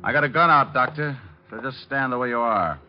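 An actor playing a suave, wisecracking private detective in a 1950s radio drama, in a wry, unflappable manner. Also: male voice, medium pitch, slight background noise, close to an everyday voice. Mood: cool, pressing. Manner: wry, quick-witted, unflappable. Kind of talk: 1950s radio drama acting, hard-boiled narration. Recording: muffled vintage radio recording with music.

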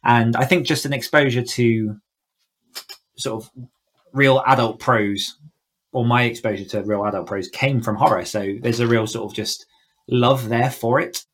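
A man's voice: mid-range voice, fairly even intonation, measured pace, a bit bright. A man speaking casually and reflectively as an interview guest, feeling out his phrasing in a thoughtful way. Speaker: guest author on a podcast.

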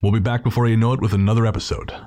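A man's voice: on the low side, flat delivery, very fast. A man hosting a podcast, chatting casually and informally through thanks and plugs. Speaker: podcast host.

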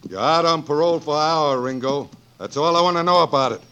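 An American actor playing a gruff but fair-minded western lawman, terse and commanding, in 1940s radio style. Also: on the high side, quick pace, dull audio, natural voice. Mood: gruff, trusting. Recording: old 1940s radio broadcast.

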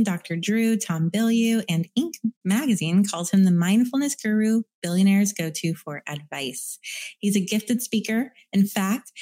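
A woman's voice: medium pitch, mellow. A woman hosting a podcast, reading an earnest introduction and then interviewing her guest. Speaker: podcast host.

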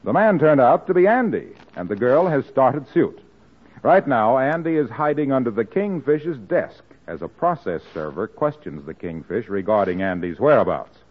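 A man's voice: medium pitch, sing-song, moderate pace, breathy edge. A man announcing an old radio show in an emphatic, scripted 1940s sales style. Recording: muffled old radio recording.

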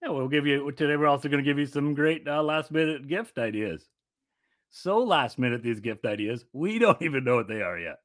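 A man's voice: mid-range voice, animated, fairly quick, a bit bright, no echo, clean studio sound. A man co-hosting a podcast in casual chat, rambling with many restarts and giggles. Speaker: podcast co-host.

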